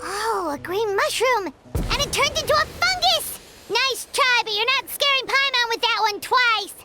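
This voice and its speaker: A woman voicing a tiny, childlike game companion, bossy and excitable, with a chirpy, exclamatory delivery. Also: very high voice, measured pace. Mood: skeptical, bossy. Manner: chirpy, exclamatory, refers to self by name. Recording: loud background game music.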